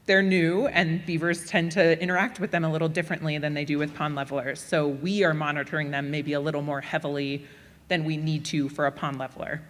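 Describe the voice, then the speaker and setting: Woman panelist with a low voice, upbeat explanation, reverberant hall. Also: fairly bright tone. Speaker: panel presenter. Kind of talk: answering audience questions.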